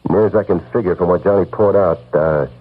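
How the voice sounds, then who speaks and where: Hard-boiled loyal investigator, deep husky voice, blunt, slangy, radio drama. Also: male voice, fairly quick. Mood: grim, matter-of-fact. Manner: blunt, slangy, world-weary. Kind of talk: old-time radio drama acting. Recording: muffled old radio recording, faint music.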